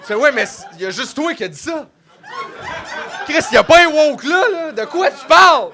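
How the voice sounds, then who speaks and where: A young man with a Québécois French accent chats on a comedy podcast. His voice sits high and sounds bright and sharp. He jokes and mocks, slipping into put-on voices. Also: normal speed, some chatter behind. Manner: joking, animated, mocking voices. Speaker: podcast co-host. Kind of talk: casual comedic chat.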